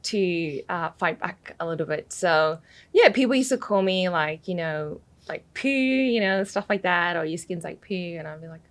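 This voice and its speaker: Woman guest, Australian accent mixed with British; chatty, easy laughter, slightly low register. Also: lively intonation, normal speed. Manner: chatty, laughs often, many "you know" fillers. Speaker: podcast guest. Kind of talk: casual interview chat.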